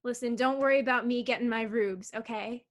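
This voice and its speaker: A woman's voice: a little high, fairly even intonation, fairly quick, natural voice. A woman playing a brash, mocking circus juggler in a staged play reading, with slangy teasing talk. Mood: needling. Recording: video call.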